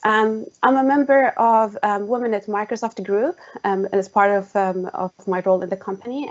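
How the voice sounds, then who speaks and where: A woman with a Jordanian Arabic accent speaks in a middle pitch on an online call. She pauses often to fill gaps with "um" and restarts her sentences as she explains her views. The sound is muffled and lo-fi. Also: a little mellow. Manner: hesitant, many "um" fillers. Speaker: tech specialist guest. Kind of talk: online panel conversation. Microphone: video call.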